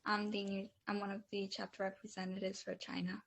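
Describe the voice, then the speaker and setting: A teenage girl from New York introducing herself on a video call, soft and tentative. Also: medium pitch, completely flat delivery, fairly quick, vocal fry. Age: teens.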